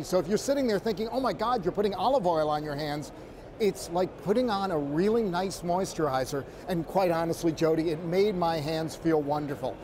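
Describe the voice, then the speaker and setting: A man with a fairly high voice gives an upbeat walk-and-talk report from a busy exhibition floor. He sounds conversational and easygoing, and keeps turning to speak straight to the anchor. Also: background noise. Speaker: trade show floor reporter. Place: trade show exhibition floor.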